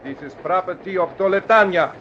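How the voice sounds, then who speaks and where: Villainous soldier, high voice, curt, hostile, radio drama, muffled hissy recording. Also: male voice, brisk, a bit bright. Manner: curt, menacing. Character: hostile, imperious foreign male soldier villain. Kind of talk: old-time radio drama acting.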